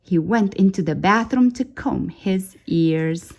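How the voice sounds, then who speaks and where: A woman reading a children's story aloud in an expressive, dramatic way, drawing out key words for suspense. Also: mid-range voice, slow.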